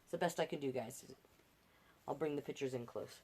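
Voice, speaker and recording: A woman hosting a livestreamed storytime, reading picture books in an animated way with chatty, encouraging asides to viewers. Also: a bass voice, a little fast, breathy edge. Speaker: storytime host and reader.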